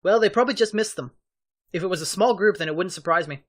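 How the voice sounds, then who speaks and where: An actor playing a quick-witted, composed young male tactician in a fan audio drama, practical and orderly. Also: high voice, natural voice. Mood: composed, decisive.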